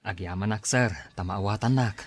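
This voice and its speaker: A man's voice: fairly low pitch, moderate pace, no echo, studio quality, lightly acted. A man playing an earnest, respectful and worried grown son in a radio drama.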